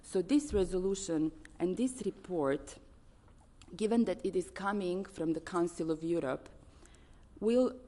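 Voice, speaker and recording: A woman from Serbia with a Serbian accent giving a formal conference speech as an advocate, in an earnest, halting way with deliberate emphasis on listed points. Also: lowish voice, mellow. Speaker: advocacy organisation representative speaking at a conference.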